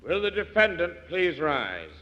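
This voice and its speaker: An actor playing a stern, solemn judge in a 1940s radio drama, formal and declamatory. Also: male voice, fairly high pitch, sing-song, unhurried. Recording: hollow reverberant old radio recording, dull.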